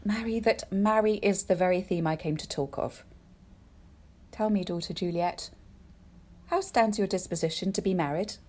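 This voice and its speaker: A woman playing a formal, commanding noble mother in a Shakespearean audio drama, with a brisk, directive manner. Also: fairly low pitch, darkish tone, lightly acted. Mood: persuasive.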